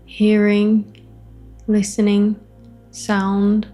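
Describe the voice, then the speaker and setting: A woman facilitating a guided meditation, offering gentle invitations in short phrases with long pauses between them. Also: mid-range voice, completely flat delivery, soft background music. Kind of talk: guided meditation, spoken softly to listeners.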